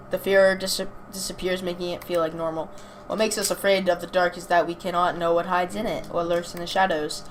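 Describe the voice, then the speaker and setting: A young woman casually commenting on a game and reading its text aloud, informal and chatty, stumbling over words now and then. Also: on the low side. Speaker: gaming video host. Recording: clearly noisy amateur home recording.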